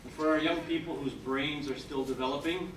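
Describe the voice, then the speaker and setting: A man's voice: fairly high pitch, fairly even intonation, fairly quick. Older man giving an echoey ceremony speech. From Canada. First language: French. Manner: encouraging, repeatedly checks in with "okay?". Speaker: citizenship judge addressing new citizens. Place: large hall with an audience. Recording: echoey hall, mic far off, noisy.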